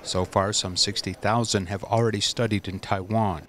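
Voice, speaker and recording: A man reading a scripted news voice-over in a measured, even way. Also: lowish voice. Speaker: news narrator.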